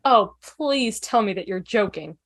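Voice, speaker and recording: A woman playing an excitable, nosy, teasing young woman who blurts things out in a fan table read on a video call. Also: mid-range voice, a little slow. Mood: appalled. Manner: gushing, blurting.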